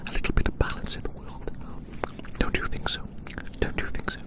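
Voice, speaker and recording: An ASMR performer whispering a rambling, theatrical roleplay monologue outdoors, softly repeating phrases in wordy language. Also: a little fast. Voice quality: soft unvoiced whisper. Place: outdoors in a large open field.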